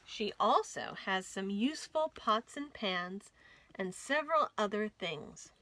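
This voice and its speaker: A woman hosting a children's storytime, reading stories and nursery rhymes aloud in an expressive, clearly enunciated way for young listeners. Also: medium pitch, measured pace, rough recording. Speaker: children's storytime host at a library.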